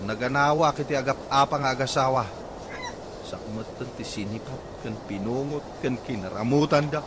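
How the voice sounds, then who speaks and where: A man acting in an Ilocano radio comedy drama as an excitable, exclamatory, put-upon husband who peddles fish. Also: mid-range voice, normal speed, background noise, lightly acted. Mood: aggrieved. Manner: exclamatory, wailing when upset.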